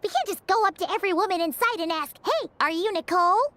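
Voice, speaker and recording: A woman voicing a tiny, excitable, outspoken fairy companion in a video game, with a chirpy, emphatic delivery. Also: extremely high pitch, fast, a little hiss or hum. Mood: skeptical, practical. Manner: emphatic, chirpy, scolding and blunt. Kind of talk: English video game voice acting.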